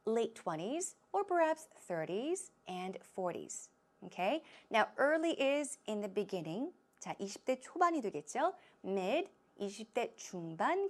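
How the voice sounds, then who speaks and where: Lively, higher-voiced woman teaching English. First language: Korean. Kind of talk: language lesson explaining expressions.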